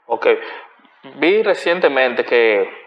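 A young adult man with a Dominican Spanish accent, hosting a casual interview with friendly street slang, recorded in a bright living room from a far camera. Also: mid-range voice, sing-song, slow. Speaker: host. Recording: lo-fi roomy sound.

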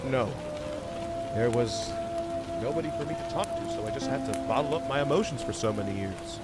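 Man voicing a lovestruck cartoon beaver, swooping expressive pitch. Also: a little fast, over loud music, close to an everyday voice. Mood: hurt, confiding. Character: lovestruck, insecure young male cartoon beaver. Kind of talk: cartoon fan fiction audio reading.

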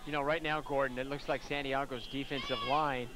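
A man's voice: mid-range voice, little pitch movement, heavy background noise. Folksy man breaking down football plays. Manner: folksy, halting analysis with many fillers. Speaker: color commentator. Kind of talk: football color commentary.

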